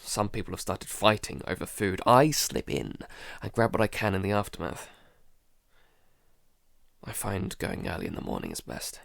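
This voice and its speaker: A man playing an anxious, sleepless recluse recording a halting, weary diary in an audio drama. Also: very animated, gravelly, fairly bright tone, dry recording, studio quality, heavily acted. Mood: weary, wary. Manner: halting, sighing, low-key and weary. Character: Jason, anxious, sleepless man barricaded at home, keeping a diary. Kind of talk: audio drama, first-person diary monologue.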